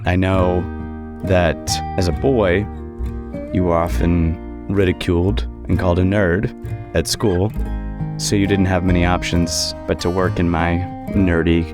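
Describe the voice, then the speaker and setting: A man's voice: low-pitched, little pitch movement, a little slow, music in the background. A man voicing Marcus, a kindly uncle. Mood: gentle. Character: kindly uncle who runs a computer shop.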